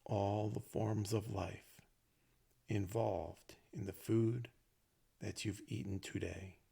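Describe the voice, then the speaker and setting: A low-voiced man guides a meditation in gentle, short phrases. His voice is husky and creaky, and he leaves long pauses between thoughts. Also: steady tone. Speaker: meditation teacher.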